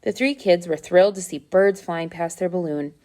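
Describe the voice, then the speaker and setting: A woman's voice: on the low side, normal speed, darkish tone. A woman reading a children's adventure story aloud as scripted narration. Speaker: storybook reader.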